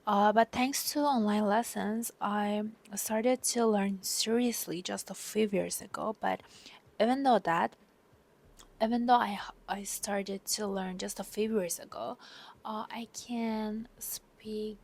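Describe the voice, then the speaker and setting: A young woman from Turkey, speaking English with a Turkish accent as a non-native speaker, talking in a personal vlog as an exchange student, softly and often half-whispered with many hesitant fillers. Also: medium pitch, fairly even intonation, slow pace. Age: young adult.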